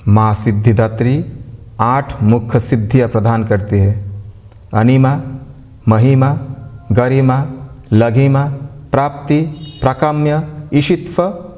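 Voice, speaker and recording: A man leading a devotional guided meditation, giving gentle, unhurried instructions in short phrases with pauses between them. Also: mid-range voice. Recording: long reverb, like a large hall.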